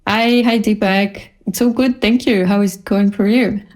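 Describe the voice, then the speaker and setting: A woman's voice: medium pitch, fairly even intonation, normal speed, darkish tone. A woman from Bulgaria, a designer speaking casually as a guest in an interview.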